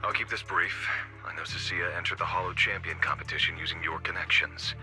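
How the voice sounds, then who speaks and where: An actor playing a stern, curt commissioner in a video game, speaking formally and with composed authority. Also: male voice, low-pitched, steady tone, quick pace, pushed and bright, soft background music, exaggerated voice.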